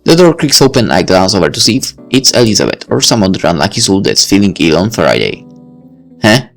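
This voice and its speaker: A man's voice: on the low side, big pitch swings, brisk, vocal fry, faint music behind. A man reading a visual novel aloud, with dry, wry asides. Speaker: let's play reader.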